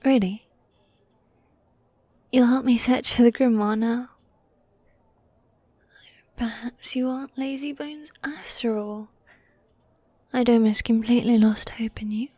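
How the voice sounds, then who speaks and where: A woman voicing a teasing, tender demon girlfriend in a medieval fantasy ASMR roleplay, speaking in soft breathy whispers with formal, old-fashioned phrasing. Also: a little high, steady tone, slow, pauses often, no room sound. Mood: affectionate teasing. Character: gothic demon girlfriend, teasing, tender, dominant yet needy.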